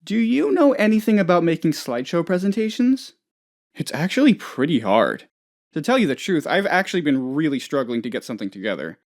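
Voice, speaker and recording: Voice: medium pitch, big pitch swings, brisk, a little mellow. An actor playing a dim, anxious, childishly self-important office boss in a comedic video game, rambling hesitantly and turning whiny when upset. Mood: sheepish, deadpan. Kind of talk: comedic game dialogue, voice lines.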